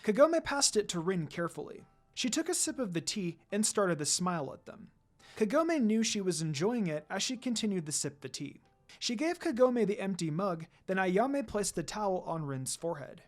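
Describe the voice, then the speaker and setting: An amateur narrator reads fan fiction aloud. The voice sits at a middle pitch and moves up and down a great deal, giving the storytelling an expressive feel. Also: moderate pace.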